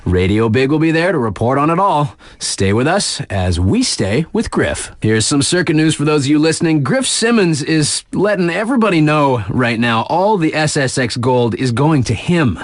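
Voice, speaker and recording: Lively, hip radio DJ. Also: male voice, a little high, no room sound, studio quality. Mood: upbeat, cool. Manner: slangy, laid-back hype with emphatic drawn-out phrases. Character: hip, easygoing male snowboard-circuit radio DJ, enthusiastic. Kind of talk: scripted in-game radio sports news patter.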